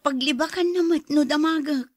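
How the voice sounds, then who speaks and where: A woman's voice: high voice, normal speed, dry recording, clean studio sound, close to an everyday voice. A woman acting in an Ilocano radio drama as a hurt, suspicious wife and mother, with an Ilocano Filipino accent. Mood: downcast, confiding.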